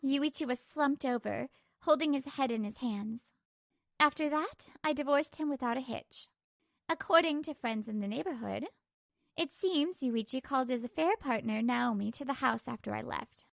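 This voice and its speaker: Female lead narrator, scripted manga story: high voice, creaky edges, close dry studio-style sound. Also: normal speed, fairly bright tone, dry recording.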